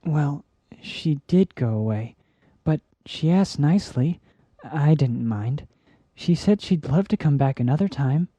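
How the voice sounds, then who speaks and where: A woman voicing a shy, gentle young male pegasus pony who hesitates and stammers in a fan-made audio story. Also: sits low, lively intonation, a little slow, breathy edge, dry recording, full character voice. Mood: dreamy. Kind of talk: fan fiction audio drama.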